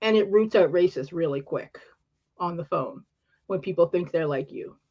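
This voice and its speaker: A woman's voice: on the low side, moderate pace. Earnest Canadian woman, lo-fi stream. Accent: upper-class Canadian. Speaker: panelist. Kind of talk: casual live-stream panel chat.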